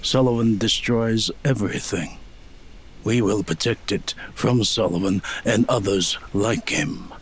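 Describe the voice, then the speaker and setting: Slow, husky, solemn forest guardian. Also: male voice, on the low side, low-quality recording. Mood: grave, wary. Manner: terse, measured, grave. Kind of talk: dramatic film script acting.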